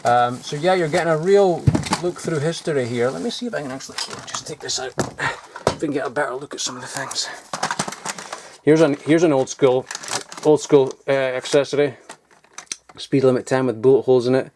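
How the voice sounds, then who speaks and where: Bloke poking round his messy loft, mid-pitched, rambly, says um a lot and swears. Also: a little fast, background noise. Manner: swears often, filler-heavy and digressive. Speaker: vlogger. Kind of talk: casual rambling show-and-tell. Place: cluttered loft.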